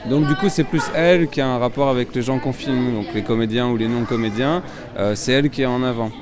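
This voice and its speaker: A man's voice: mid-range voice, fairly quick. Man from France, filmmaker, hesitant with many fillers, busy crowd noise behind. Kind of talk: interview answers.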